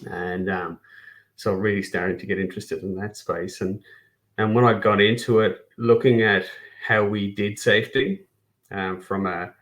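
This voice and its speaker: Low, flat-voiced Irish man. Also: normal speed. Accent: Irish, Kerry. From Ireland. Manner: self-deprecating humor, frequent restarts and fillers. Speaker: guest. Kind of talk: casual podcast interview.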